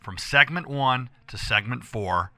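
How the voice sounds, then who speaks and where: An American man hosting a casual radio show with a hoarse voice, talking in a rambling, emphatic way and repeating himself as he addresses listeners. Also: medium pitch, expressive, measured pace, bright, forceful tone, dry recording, studio quality. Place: radio studio.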